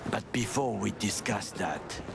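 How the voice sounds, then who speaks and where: An actor playing a stern middle-aged bodyguard in a video game, with a Japanese accent and formal, terse phrasing. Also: male voice, fairly low pitch, expressive, measured pace, vocal fry, over loud music. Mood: commanding, dry. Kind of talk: cinematic video game dialogue. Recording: game dialogue over street and vehicle noise.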